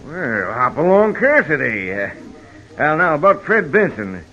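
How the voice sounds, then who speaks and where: An actor playing a folksy, guarded frontier storekeeper and deputy with a rustic Western American drawl in an old-time radio western. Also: male voice, fairly high pitch, big pitch swings, a little slow. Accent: rustic American West. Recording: dull, noisy vintage radio recording.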